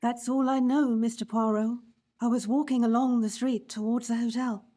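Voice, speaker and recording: A woman's voice: a little high, steady tone, moderate pace, over loud music, lightly acted. A woman playing a frightened, distressed young daughter in a live mystery drama. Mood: shaken. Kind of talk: live radio-style mystery drama acting.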